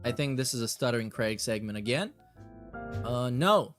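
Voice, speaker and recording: A man's voice: medium pitch, expressive, normal speed. Sardonic man commenting over music. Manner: sardonic, blunt, swearing. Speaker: host of a reaction show. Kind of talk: casual commentary, reading chat messages aloud.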